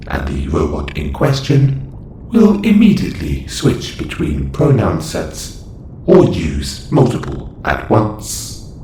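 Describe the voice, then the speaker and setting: A man acts out a calm, clinical robot caretaker in a science fiction audio roleplay. His deep male voice moves slowly through stilted, precise sentences. The recording is roomy and echoing. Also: exaggerated voice. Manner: stilted, precise, formal phrasing. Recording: roomy echo, faint background noise.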